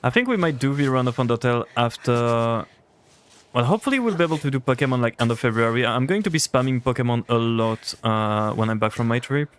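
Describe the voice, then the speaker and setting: A man's voice: mid-range voice, fast talker, clear, resonant tone. A young man with a French accent, chatting casually with his chat while playing a game on a livestream. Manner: chatty, rambling, answers chat. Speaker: streamer.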